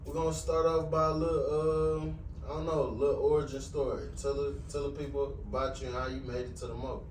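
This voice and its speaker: A man's voice: fairly high pitch, little pitch movement, fairly quick, darkish tone, a little hiss or hum. A man hosting a podcast, laid-back and slangy in casual profane chat. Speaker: podcast host. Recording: echoey room, mic at a distance.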